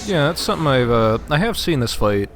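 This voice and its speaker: Man, mid-pitched voice, casual game co-commentary, hesitant phrasing, faint background noise. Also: big pitch swings, a little fast.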